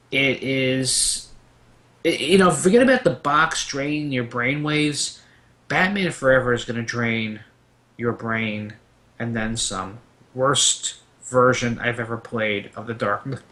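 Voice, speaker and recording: A man's voice: mid-range voice, slow pace, sharp tone. Man ranting casually in a panel chat.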